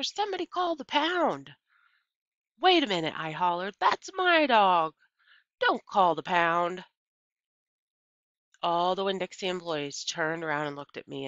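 A middle-aged woman with a lowish, bright voice reads a children's story aloud. She phrases it deliberately and with emphasis, as a teacher does. The recording is a bit dull and lo-fi. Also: no echo. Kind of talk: expressive read-aloud of a children's novel.

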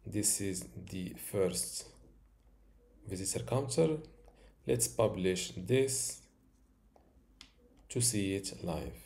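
Slow, low-voiced man teaching software. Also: gravelly, a little hiss or hum. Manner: slow, step-by-step instructions with fillers. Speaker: tutorial host. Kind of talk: screen-recorded software tutorial.

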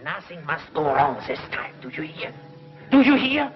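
An actor playing a vengeful, power-mad underworld ruler in a 1950s TV sci-fi serial, with a theatrical, ranting, obsessive delivery. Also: male voice, on the high side, sing-song, normal speed. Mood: anxious, demanding. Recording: muffled 1950s TV soundtrack with music.

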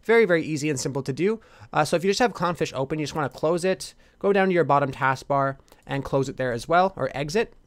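A man's voice: on the high side, quick pace. A man narrating a casual step-by-step computer tutorial as its host.

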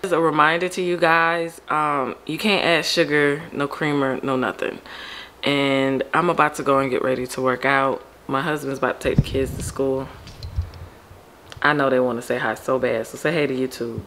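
Casual female vlogger, American, low gravelly morning voice, candid and informal, some household noise behind. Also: fairly even intonation, moderate pace, fairly bright tone. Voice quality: deep early-morning voice. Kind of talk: casual chatty vlog to camera. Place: home kitchen and upstairs rooms, indoors.

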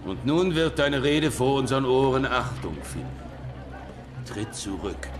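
A man's voice: mid-range voice, expressive, measured pace, music in the background, natural voice. Man playing a stern, formal warrior chief. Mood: solemn. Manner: formal, commanding. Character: stern male Kurdish warrior chief, guarded but honourable. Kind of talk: audio drama acting.

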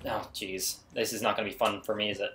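A man's voice: on the low side, little pitch movement, fast talker, bright, forceful tone, soft background music. A man giving casual, exclamatory gameplay commentary as a video host, often putting himself down. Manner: self-deprecating, exclamatory.

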